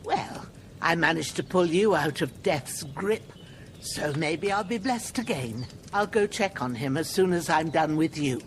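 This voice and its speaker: A gruff old village healer. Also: female voice, deep voice, lively intonation, a little slow, a little hiss or hum. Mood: caring. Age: older. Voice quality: folksy, scolding, plain rustic speech. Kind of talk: video game dialogue.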